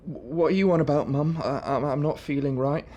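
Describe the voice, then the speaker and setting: A man's voice: a little high, a little fast, mellow, highs cut off, close to an everyday voice. A man, playing a troubled, anxious and paranoid young man in a radio drama. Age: 20s. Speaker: young man with schizophrenia, narrator of his story.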